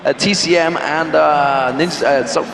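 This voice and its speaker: A man doing brisk esports play-by-play commentary on a headset at a packed convention hall. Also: a little high, vocal fry. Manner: brisk, excitable hype. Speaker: esports caster. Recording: echoey arena with crowd noise.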